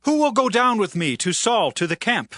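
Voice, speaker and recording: An actor playing an earnest, devout ancient warrior leader in a dramatized Bible, speaking in a solemn, declamatory way. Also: male voice, high-pitched, very animated, normal speed, fairly bright tone, dry recording, clean studio sound. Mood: loud, challenging. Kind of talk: dramatized Bible reading, archaic English.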